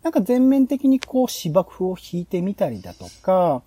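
Mellow, animated man explaining ideas. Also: high-pitched, moderate pace, breathy edge, no echo. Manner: explanatory, thinking aloud. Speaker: podcast host presenting program ideas. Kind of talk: casual planning-meeting talk.

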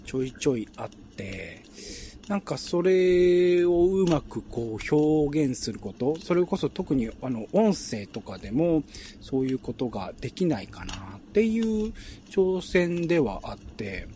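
Guy hosting a chill chat, pitch moves around a lot, polite n hesitant, bit of noise behind. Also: very animated, a little slow, mellow. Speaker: host. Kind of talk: relaxed round-table chat.

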